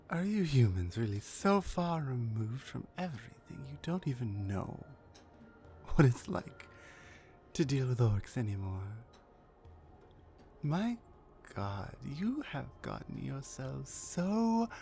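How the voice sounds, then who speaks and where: A dominant orc warrior woman, acted by a woman for an ASMR roleplay, speaks very slowly and deliberately. Her pitch swings widely as she mocks and threatens, sometimes sinking into a whisper. Music plays behind her. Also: a little mellow, exaggerated voice. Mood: domineering. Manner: menacing, mocking, slow and deliberate, often dropping to breathy whispers. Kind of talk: ASMR roleplay, slow dramatic monologue.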